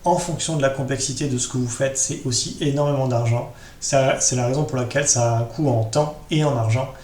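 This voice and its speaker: Man, tutorial host, casual step-by-step delivery, slightly low voice, roomy echo, some background noise. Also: fairly even intonation, fairly quick, darkish tone. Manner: informal, step-by-step explaining, addressing viewers directly. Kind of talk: casual screen-share tutorial talk.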